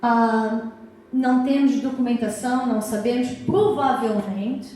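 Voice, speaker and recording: American woman historian, Portuguese accent, English her first language, chatty public lecture, dark mellow voice, recorded far off in a very echoey hall. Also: fairly high pitch, fairly even intonation, unhurried. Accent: Portuguese (Azorean family), American English. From United States, Massachusetts. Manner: warm, chatty, asks the audience questions, frequent "tá?" check-ins. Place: hall of a cultural society.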